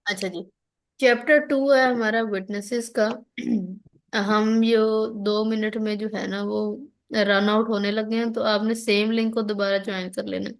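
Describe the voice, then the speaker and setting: A woman's voice: medium pitch, fairly even intonation, a little slow, vocal fry, rough recording. A woman with a Pakistani accent giving an online law lecture, explaining step by step, reading out articles and checking that students follow. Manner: instructional, checks understanding often, mixes in English legal terms. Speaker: law exam teacher.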